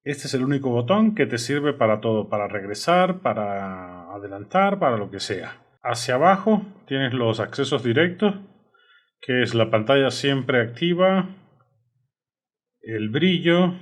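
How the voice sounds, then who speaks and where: A man's voice: mid-range voice, very animated, measured pace. A man with a Cuban accent giving a conversational, enthusiastic product review from his home studio. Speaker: tech reviewer.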